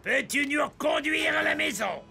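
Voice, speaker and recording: A man playing a gruff, blustery old bearded pirate captain with a gravelly voice in a children's cartoon. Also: very high-pitched, animated, moderate pace, music in the background, exaggerated voice. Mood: hearty. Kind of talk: animated children's cartoon dialogue.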